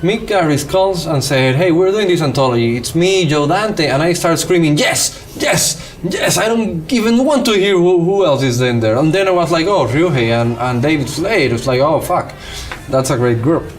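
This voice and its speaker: Cuban guy w/ spanish-accented english, film director in a casual interview, tells stories in an animated way, bit of music behind. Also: a little high, normal speed. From Cuba.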